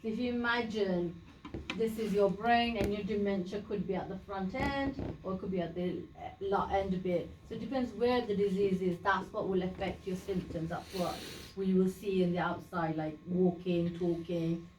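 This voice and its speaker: A woman's voice: mid-range voice, normal speed, noisy background. A woman whose first language is Sylheti, giving an informal talk to a community group and explaining things plainly with lots of fillers and restarts. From Bangladesh. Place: community meeting room. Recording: echoey room, voice from a distance.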